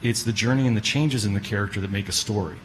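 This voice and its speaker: A man's voice: fairly low pitch, fast, low-quality recording. A man in an audience making casual, off-the-cuff remarks at a live event. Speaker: audience member. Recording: live room with background noise.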